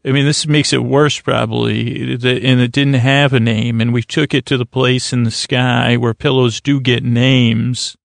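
A man hosting a bedtime podcast, rambling in a halting, stammering, whimsical way that trails off mid-phrase. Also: medium pitch, little pitch movement, gravelly, dry recording. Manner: whimsical, mock-earnest. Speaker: podcast host. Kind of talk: rambling, improvised bedtime monologue to help listeners sleep.

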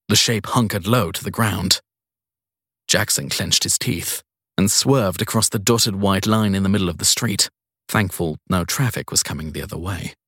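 A man's voice: low voice, a bit bright, breathy edge, no room sound, clean studio sound. A man reading a crime story as a scripted audiobook narration.

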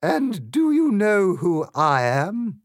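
A man's voice: sits high, huge pitch swings, takes it slowly, dry recording, studio quality, voice pushed up, full character voice. A man voicing an imperious, cruel queen of a card kingdom who speaks grandly and screams in bursts.

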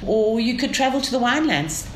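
A woman's voice: a little high, expressive, a little fast. A woman from South Africa with a South African accent, describing her city as an interview guest in an enthusiastic, storytelling way. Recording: echoey room with faint ambient noise.

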